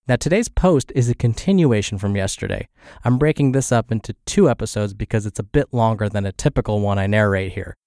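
A man's voice: on the low side, lively intonation, brisk, darkish tone, dry recording, studio quality. A man hosting a podcast and reading a health blog aloud, sounding congested and scratchy from the flu. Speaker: podcast host and narrator.

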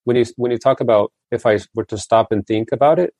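A man's voice: mid-range voice, fast talker, darkish tone, dry recording. A man in his forties, the founder of a coaching business, answering interview questions in a casual way with many restarts and repeated words. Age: 40s.

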